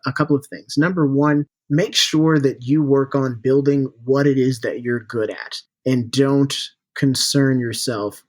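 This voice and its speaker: A man's voice: mid-range voice, dry recording. A man giving casual, encouraging interview answers as a podcast guest, with an upbeat and informal manner. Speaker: podcast guest, startup CEO and founder.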